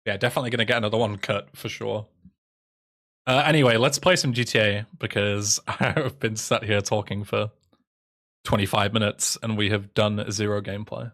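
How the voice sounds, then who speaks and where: A man's voice: on the low side, steady tone, normal speed, a bit bright. A young man in his twenties with an English accent, chatting casually on a livestream and answering viewers' messages aloud. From England. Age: 20s. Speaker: streamer and speedrunner.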